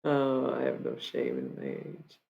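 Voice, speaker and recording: A woman's voice: extremely low pitch, very animated, measured pace, vocal fry, lo-fi sound, a little hiss or hum. A young woman from Michigan chatting casually on a live stream, joking about herself and breaking into rap lines. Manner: joking, self-mocking, freestyles rap lines.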